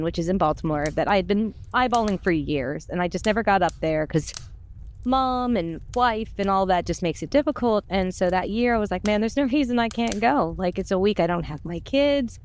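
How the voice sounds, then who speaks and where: A woman's voice: medium pitch, lively intonation, moderate pace, a little hiss or hum. A middle-aged woman telling stories casually, in an exuberant, chatty and self-deprecating way. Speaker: audio drama voice actor and podcast cast member. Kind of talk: casual conversational storytelling.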